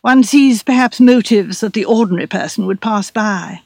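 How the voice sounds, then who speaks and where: A woman's voice: mid-range voice, normal speed, darkish tone, no room sound, natural voice. A debonair young man of letters read by a woman talks with earnest self-importance and a patronising air. It is an audiobook character in a dry, close recording. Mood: grave, patronising.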